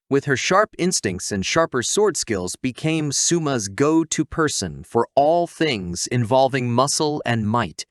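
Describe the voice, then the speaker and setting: A man's voice: mid-range voice, a bit bright, no room sound, studio quality. A man reading a scripted recap narration in a wry, tongue-in-cheek storytelling manner.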